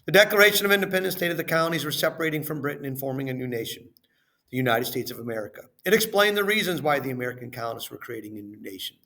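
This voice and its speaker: A man reading a lesson and worksheet questions aloud as a teacher, in a deliberate, instructional way, stressing phrase openings and trailing off at the ends. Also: mid-range voice, lively intonation, creaky, sharp tone.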